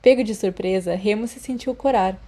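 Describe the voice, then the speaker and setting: A woman's voice: medium pitch, normal speed, warm tone. A woman with a Brazilian accent, reading scripted fan fiction as an audiobook narrator.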